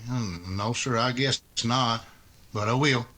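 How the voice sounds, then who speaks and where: A man's voice: mid-range voice, takes it slowly, bright, forceful tone. A man playing a loyal, deferential but timid deputy in a radio western, hesitant and stammering. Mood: meek, uneasy. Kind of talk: old-time radio western acting.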